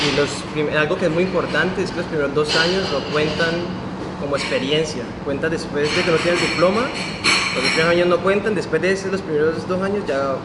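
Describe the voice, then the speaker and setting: A man, an interactive design graduate, giving halting interview answers that correct themselves as he goes. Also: a little high. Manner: halting, self-correcting explanation. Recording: loud background noise.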